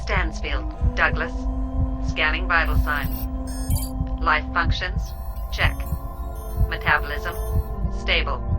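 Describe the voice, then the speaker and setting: An actor playing an impassive ship's computer in a radio drama, clipped, monotone and clinical. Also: male voice, fairly high pitch, slow pace, pauses often, heavy background noise. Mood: detached, clinical. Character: impassive ship's computer monitoring vital signs.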